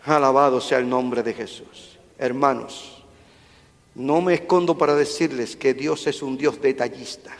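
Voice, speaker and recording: A man's voice: mid-range voice, unhurried. A male pastor, preaching a sermon with rising fervor and calling out to the congregation. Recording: long hall reverb.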